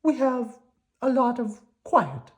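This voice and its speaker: A woman voicing a small, polite female country worm in a storybook, light and squeaky, a little hesitant. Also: very animated, takes it slowly. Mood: gently frank.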